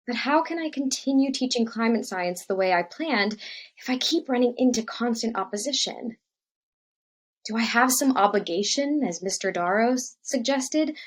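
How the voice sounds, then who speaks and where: A woman's voice: fairly high pitch, close to an everyday voice. A woman acting as a troubled young first-year science teacher in a scripted podcast drama, earnest and hesitant.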